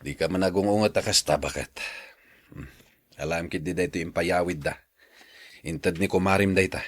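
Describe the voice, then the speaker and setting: A man playing a sociable, easygoing husband in an Ilocano audio drama, genial and expressive, with an Ilocano accent. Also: deep voice, normal speed, dry recording, clean studio sound. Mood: easygoing, persuasive. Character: sociable, easygoing husband who loves neighborly company.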